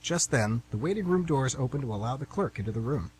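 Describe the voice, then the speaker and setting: A man's voice: mid-range voice, lively intonation, fast talker, a little mellow, low-quality recording. A man hosting an amateur radio theatre show, chatty and informal as he introduces and narrates. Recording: amateur radio, faint background hum.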